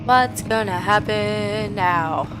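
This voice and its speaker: A young woman with a raspy voice from a cold, reading game notes aloud and reacting nervously as she plays a horror game on a headset mic. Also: mid-range voice, slow pace, noisy background. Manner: reads notes aloud, then jumpy reactive chatter. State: getting over a cold. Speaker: gaming streamer. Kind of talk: horror game let's play commentary. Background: game music.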